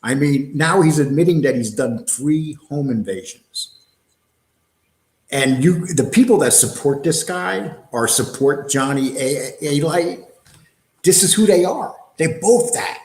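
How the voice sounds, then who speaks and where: A man's voice: on the high side, animated, a little slow, echoey room. A middle-aged man giving casual, mocking commentary as a show co-host, sarcastic and prone to swearing. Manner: sarcastic, profane.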